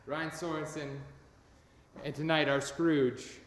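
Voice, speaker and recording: A man's voice: fairly high pitch, flat delivery, slow. A man giving an informal, sincere and self-deprecating welcome as host of a live radio play. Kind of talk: informal welcome speech to an online audience. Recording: echoey room with long reverb.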